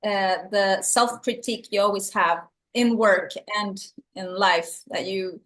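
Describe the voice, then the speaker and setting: A woman's voice: medium pitch, a little slow, fairly bright tone. A middle-aged woman from El Salvador, a filmmaker speaking English with a Swedish and San Francisco accent, answering interview questions earnestly and often repeating words as she searches for them. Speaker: filmmaker guest.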